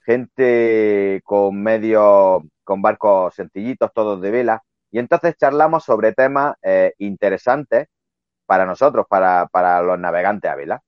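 Low-voiced man, lo-fi call. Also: unhurried, clean tone, dry recording. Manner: relaxed, rambling explanations. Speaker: guest, sailing youtuber. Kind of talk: casual video interview. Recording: dull lo-fi sound.

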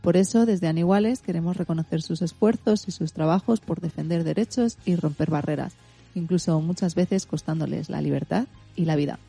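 Mellow, lowish-voiced Spanish woman host. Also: creaky. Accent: Spain. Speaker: radio show host. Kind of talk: children's radio show presenting.

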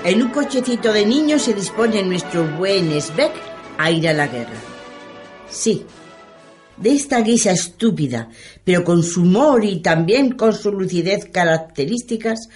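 A woman's voice: mid-range voice, animated, a little slow, darkish tone, soft background music. A woman narrating a radio play in a dramatic, scripted storytelling style.

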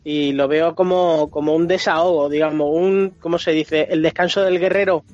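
Higher-pitched emphatic man from Spain. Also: fairly even intonation, moderate pace, darkish tone. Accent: Spanish from Spain. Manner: emphatic, colloquial, repeats for emphasis. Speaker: podcast panelist. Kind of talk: casual opinionated chat about games. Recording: muffled podcast audio, music underneath.

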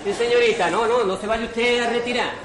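A man's voice: extremely high pitch, steady tone, a little fast, far from the mic, crowd noise. Guy playing a jittery poor nobody in a stage farce, stammers, wry and fed up, roomy noisy recording. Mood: flustered confessing. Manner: stammering, exasperated comic asides. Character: hapless poor impostor man, jittery and wryly resigned. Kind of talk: amateur comic radio theatre.

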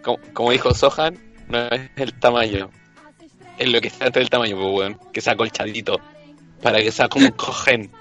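A man's voice: mid-range voice, fairly even intonation, fairly quick, a bit bright, lo-fi sound, soft background music. A man from Chile with a Chilean accent, joking casually and crudely in thick slang. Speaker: comedy show panelist.

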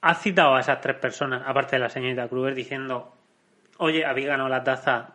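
Bright-voiced man hosting a chat. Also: medium pitch, steady tone, quick pace. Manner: playful, leads segments, keeps asking ¿vale?. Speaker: podcast host. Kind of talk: casual chat.

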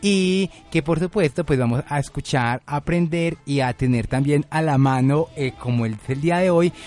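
A man's voice: fairly high pitch, moderate pace, darkish tone, soft background music. Wordy male Colombian radio host. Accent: Colombian, Medellín. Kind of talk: community radio magazine hosting, interviewing.

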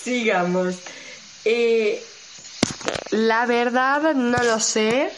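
A woman's voice: a little high, drawn-out words, noisy background. A teenage girl with an Argentine accent, chatting casually as a radio show co-host. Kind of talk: casual chat among friends.

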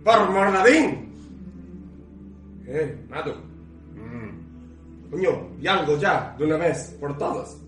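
A man playing a gruff, hearty fantasy dwarf with blustery, colloquial talk. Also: a little high, big pitch swings, takes it slowly, long pauses, recorded from a distance. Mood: impatient. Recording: echoey, off-mic, faint music.

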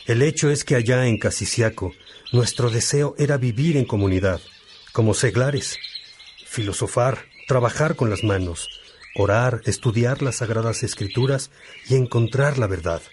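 An actor playing a fatherly, unhurried priest teaching his young brothers in a radio drama, with birdsong behind him. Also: mid-range voice, noisy background, close to an everyday voice. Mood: fatherly, content. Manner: fatherly, unhurried, addressing followers affectionately.

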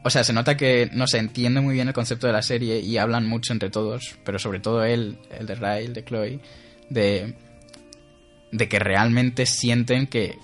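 A man's voice: medium pitch, little pitch movement, moderate pace, faint music behind. A young man, co-hosting a casual podcast chat, rambling with many restarts. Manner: rambling, with frequent restarts and laughter. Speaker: podcast co-host.

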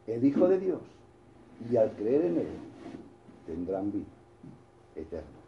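A man's voice: medium pitch, dark tone, echoey room, highs cut off, slight background noise. A man with a Spanish accent from Spain, preaching a sermon in a storytelling way, with restarts and asides to the congregation.

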